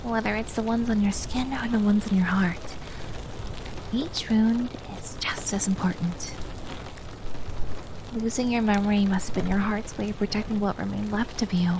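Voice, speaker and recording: A woman's voice: mid-range voice, fairly even intonation, normal speed, background noise, close to an everyday voice. A woman playing a prim, caring twin maid in an ASMR roleplay, often whispering, with formal wording and a teasing, easily flustered temper. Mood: tender, soothing. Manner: soft, formal wording, stammers when embarrassed.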